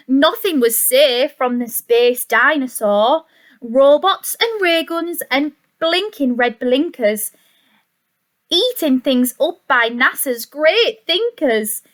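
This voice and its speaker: A woman reading a picture book to young children in an expressive, sing-song way, adding chatty asides and questions for the listeners. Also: high voice.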